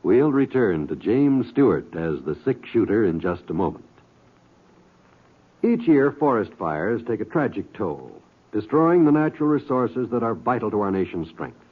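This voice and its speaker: Animated male vintage radio announcer. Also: lowish voice, moderate pace, narrow, tinny audio. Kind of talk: 1950s radio announcing, scripted. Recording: thin, husky-sounding old radio recording.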